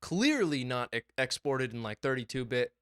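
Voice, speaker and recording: Young male podcast co-host, chatty and excitable, swears freely, clean dry mic. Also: mid-range voice, normal speed, smooth, dry recording. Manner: excited, swearing, rambling storytelling. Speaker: podcast co-host and music producer. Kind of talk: casual chat. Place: small home studio.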